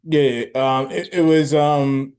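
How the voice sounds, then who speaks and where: A man co-hosting a casual sports podcast, talking in a halting, easygoing way with many fillers. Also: a little high, fairly even intonation, darkish tone. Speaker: podcast co-host.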